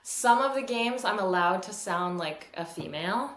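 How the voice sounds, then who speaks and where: A woman fiddle teacher with a low voice, answering interview questions in a relaxed way and laughing easily, over a video call. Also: moderate pace, echoey room.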